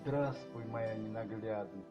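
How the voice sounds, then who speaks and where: A man's voice: fairly low pitch, a little slow, muffled recording, music in the background, close to an everyday voice. A man playing an affectionate, playful and easily flustered husband in a radio play. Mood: tender. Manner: tender, lightly ironic, hesitant when uneasy.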